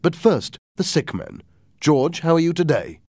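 A man voicing a calm, principled doctor in a storybook reading. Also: fairly high pitch, unhurried, no echo, clean studio sound, voice pushed up, full character voice. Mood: brisk.

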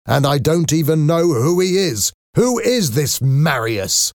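A protective, angry father, performed by a male narrator, forceful and full of disbelief. His pitch rises and swings dramatically, in a dry recording suited to a storybook. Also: lively intonation, moderate pace, vocal fry, breathy edge, no echo, full character voice. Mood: angry, hurt. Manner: incredulous, forceful, emotional. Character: angry, protective, anxious father of a grown daughter.

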